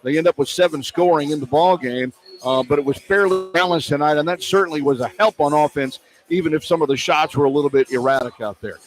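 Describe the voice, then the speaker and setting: A man's voice: fairly high pitch, fast talker, soft background music. A man doing radio sports commentary as a play-by-play announcer. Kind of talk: sports commentary and post-game wrap-up.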